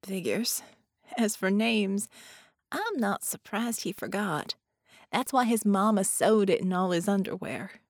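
A woman's voice: medium pitch, animated, measured pace, no echo. A woman voicing a weary, sardonic woman with frayed patience in an audiobook, blunt and dry in manner. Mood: smirking.

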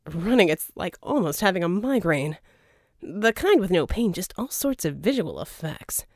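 A woman's voice: fairly low pitch, very animated, moderate pace, a little mellow, no echo, studio quality. A woman voicing a cheerful, menacing male villain tycoon in an audiobook, with theatrical mock charm. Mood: breezy, needling.

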